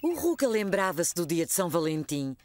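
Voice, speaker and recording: A woman's voice: fairly low pitch, big pitch swings, fairly quick, a little mellow, faint music behind. A woman with a European Portuguese accent reading scripted storybook narration for a children's cartoon. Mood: storytelling.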